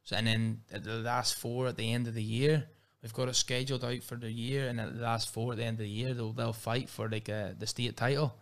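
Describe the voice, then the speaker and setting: A man's voice: mid-range voice, flat delivery, a little fast. A man with a Northern Irish accent, a mixed martial arts fighter talking casually in an interview, rambling with frequent restarts and fillers. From Northern Ireland. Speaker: MMA fighter and guest.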